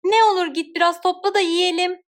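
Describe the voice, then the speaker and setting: A woman's voice: extremely high pitch, fairly even intonation, fairly quick, voice pushed up. A woman voicing a fed-up, insistent young wife in a cartoon fairy tale, whining and pleading.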